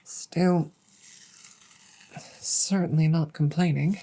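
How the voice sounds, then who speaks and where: A woman playing a proud but bashful dragon girlfriend in an intimate audio roleplay, with formal wording, a breathy voice and halting, sighing delivery. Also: low voice, steady tone, exaggerated voice. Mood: shy, pleased. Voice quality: husky, breathy. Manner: formal wording, hesitant, halting, soft sighs.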